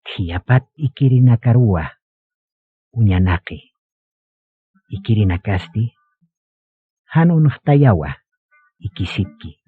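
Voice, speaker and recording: A man with a Bolivian accent narrating a scripted radio drama in a measured, solemn way, on a muffled recording. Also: fairly low pitch, animated, husky, no echo.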